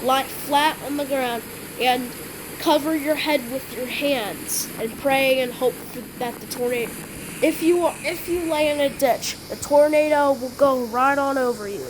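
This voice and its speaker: A woman with a high voice plays an emergency alert announcer in an amateur improvised scenario. Her delivery is halting and repeats itself. The home recording carries clear noise.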